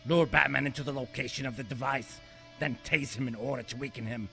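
A man's voice: medium pitch, steady tone, brisk, vocal fry, pushed and bright, soft background music. A man playing a gleeful, vengeful maniac supervillain in an amateur radio play, ranting theatrically and menacingly. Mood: scheming, manic.

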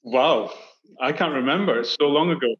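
A Scottish man in his thirties talks through his career in a loose, animated way, circling back and restarting his sentences. His voice sits at a middling pitch. The video call audio comes through dull and lo-fi. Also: moderate pace. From Scotland. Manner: animated, gestures with hands, many restarts. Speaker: architect guest. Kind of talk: casual interview chat. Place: indoor room on video call. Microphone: video call webcam.

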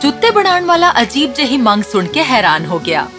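Female narrator for children's stories, animated and swooping, slightly high, over loud music. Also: a little fast. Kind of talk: scripted children's storytelling narration.

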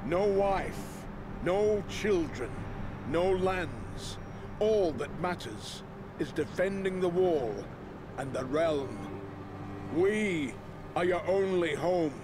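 A stern older commander speaks in grave, oratorical style in a fantasy game. His delivery is slow and deliberate, with clear ambient noise behind him. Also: sits high, lively intonation. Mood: solemn, stern. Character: stern old lord commander addressing his sworn brothers. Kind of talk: solemn scripted speech in a fantasy game.

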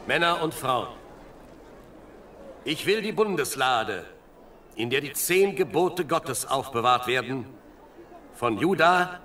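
A man's voice: medium pitch, a bit bright, a little hiss or hum. An actor playing a devout, ceremonious king in a biblical audio drama, with stately, declamatory delivery. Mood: proclaiming.

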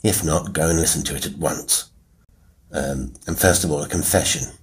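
A British man hosting a solo podcast, talking informally in a rambling, wry, self-deprecating way with a husky voice. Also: a bass voice, normal speed. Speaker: horror podcast host and writer. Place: quiet room with a piano at work. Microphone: wireless Bluetooth mic into a phone.

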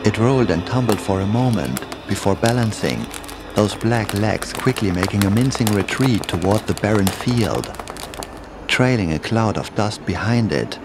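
A man with a fairly low voice narrates a scripted horror story in the first person. He reads as the storyteller, and music plays clearly underneath his voice. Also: expressive, moderate pace. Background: music behind the voice.

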